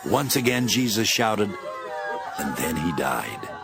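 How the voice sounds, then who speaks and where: Deep, expressive male Bible narrator. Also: slow, vocal fry. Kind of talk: dramatized scripted Bible narration. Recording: music behind.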